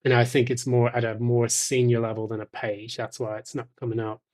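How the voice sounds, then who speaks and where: A man giving an intuitive tarot reading straight to the listener, speaking in a measured, halting way with thoughtful pauses. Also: medium pitch, monotone, darkish tone. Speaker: tarot reader.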